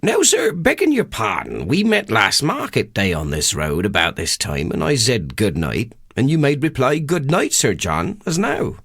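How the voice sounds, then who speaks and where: Lilting, naive West Country rustic. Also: male voice, mid-range voice, huge pitch swings, normal speed, few pauses, a bit bright, breathy edge, no echo, clean studio sound, pitched above the performer's own voice, full character voice. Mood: puzzled. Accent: English West Country. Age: middle-aged. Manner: rustic dialect, wondering and wheedling. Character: middle-aged rustic tippling haggler, naive and self-important.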